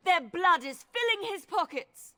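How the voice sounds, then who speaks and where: A woman's voice: very high voice, lively intonation, a little slow, pushed and bright, exaggerated voice. An actress playing a stern, righteous female priest in a video game, formal and accusing.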